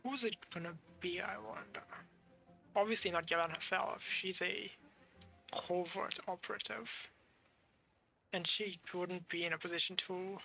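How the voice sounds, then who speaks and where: A woman's voice: lowish voice, fairly even intonation, a little slow, vocal fry, sharp tone. A young woman giving casual game commentary as a streamer, musing aloud and searching for words as she goes. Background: game music.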